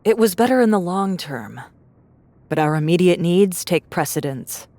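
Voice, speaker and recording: A woman's voice: fairly low pitch, expressive, moderate pace, mellow, no room sound. A woman playing a pragmatic, self-assured young survivor in an audiobook drama, confident and matter-of-fact. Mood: reassuring, matter-of-fact.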